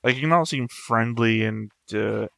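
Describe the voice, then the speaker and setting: A man's voice: mid-range voice, moderate pace, creaky, fairly bright tone. A man hosting a reaction show, commenting casually and off the cuff in a wry, musing way.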